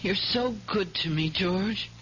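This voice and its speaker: An actress playing a frail, gravely ill young wife, plaintive and feeble, in a 1970s radio mystery. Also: female voice, medium pitch, slow pace, a bit bright. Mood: weak, grateful. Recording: dull, noisy old radio recording.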